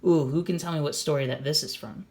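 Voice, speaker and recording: An expressive storybook reader doing an animated read-aloud for children, explaining and asking questions along the way. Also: mid-range voice, fast talker.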